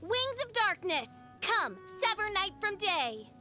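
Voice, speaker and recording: An actress voicing a theatrical young self-styled princess in an anime game, speaking in pompous, archaic, melodramatic phrases. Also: female voice, extremely high pitch, big pitch swings, slow, pushed and bright, faint music behind, exaggerated voice. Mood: haughty, theatrical. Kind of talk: anime game character voice lines.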